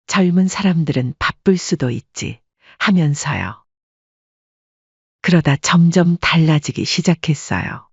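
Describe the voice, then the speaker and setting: A woman voices a sorrowful grandmother in her late sixties telling her story. The voice is husky, recorded close and dry with a slightly dull tone. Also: sits low, darkish tone, no room sound, heavily acted. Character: sorrowful 68-year-old widowed restaurant-owner grandmother. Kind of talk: scripted first-person story narration.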